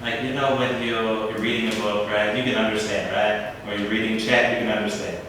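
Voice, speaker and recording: A man's voice: lowish voice, level tone, a little fast, fairly bright tone, distant mic, a little hiss or hum. A man in a casual talk, asking rhetorical questions and leaning on "you know" a lot. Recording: echoey hall, picked up from afar.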